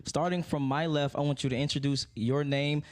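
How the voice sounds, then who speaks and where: A man's voice: a little high, fairly even intonation, a little fast. A man hosting a casual panel discussion, talking in an informal, slangy way with some swearing. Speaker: podcast host.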